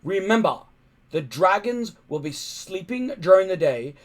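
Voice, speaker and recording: A man's voice: high-pitched, very animated, measured pace, voice pushed up. A man playing a bossy Viking chief who barks out orders emphatically in a storybook reading. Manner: commanding, emphatic shouting.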